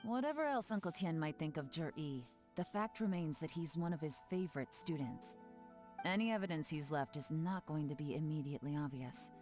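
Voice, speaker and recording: An actress voicing a cool, shrewd, commanding female investigator in a video game, measured and composed. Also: deep voice, a little mellow, natural voice. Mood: composed, businesslike. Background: game music.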